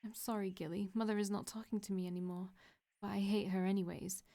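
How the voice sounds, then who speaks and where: Woman doing a sobbing broken girl, pleading, mellow. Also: mid-range voice, steady tone, fast, close to an everyday voice. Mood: tearful pleading. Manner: pleading, tearful. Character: desperate young woman, sobbing, devoted yet broken.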